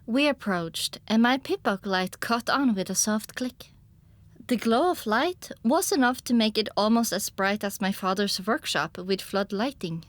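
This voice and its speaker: A woman narrating a scripted first-person story for an audiobook in an expressive storytelling manner. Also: mid-range voice, a little slow, no echo.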